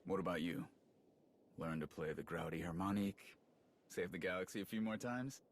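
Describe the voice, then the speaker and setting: A man's voice: low voice, fairly even intonation, moderate pace, a little hiss or hum. A man acting a chatty, friendly male soldier and slicer in a video game cutscene, casual and wry with rambling asides. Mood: casual, fond.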